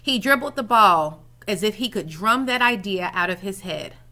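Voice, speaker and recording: Bright, animated woman reading a storybook. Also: mid-range voice, normal speed. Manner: animated, dramatizes dialogue for children. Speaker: reading coach reading aloud. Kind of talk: expressive children's storybook read-aloud.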